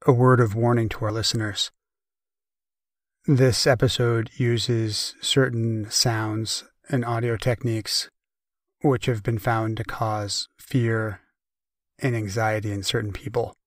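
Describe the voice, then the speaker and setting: A man playing an ominous announcer, gravely and deliberately reading a scripted horror warning to listeners. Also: mid-range voice, level tone, no echo, clean studio sound, natural voice. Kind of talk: scripted horror podcast disclaimer.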